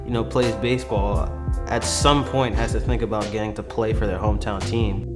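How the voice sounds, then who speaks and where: A man's voice: fairly low pitch, steady tone, moderate pace. A young man from Baltimore, a baseball player, speaking casually and with enthusiasm, saying "like" often. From Baltimore, United States. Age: young adult. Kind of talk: feature interview. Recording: interview with music underneath.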